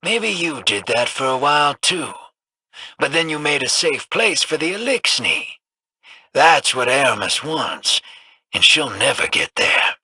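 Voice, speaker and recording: A man's voice: fairly high pitch, very animated, measured pace, pushed and bright, husky, no room sound, exaggerated voice. An actor voicing a wry male wanderer with a casual, teasing drawl, in video game dialogue.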